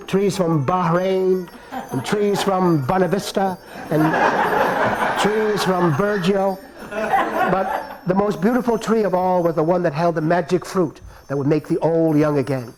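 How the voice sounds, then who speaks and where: A man telling a folk tale at a live puppet performance, playful and animated, with chuckling asides. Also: high voice, poor audio. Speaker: storyteller in a puppet show. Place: live performance before an audience.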